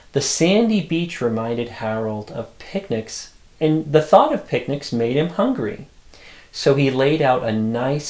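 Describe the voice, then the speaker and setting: Expressive man reading a bedtime story, unhurried. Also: medium pitch, roomy sound. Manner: expressive, childlike wonder in asides. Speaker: storyteller, host. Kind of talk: reading picture books aloud, with asides.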